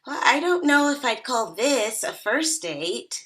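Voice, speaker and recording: An actress at a table read playing a blunt woman in her thirties, with a dry, deadpan delivery. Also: fairly high pitch, measured pace. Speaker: actor playing Megan. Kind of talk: table read of a comedy pilot.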